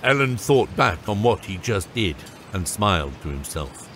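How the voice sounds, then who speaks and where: A man's voice: lowish voice, sing-song, moderate pace, slight background noise. A man narrating a scripted fantasy audiobook. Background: loud music.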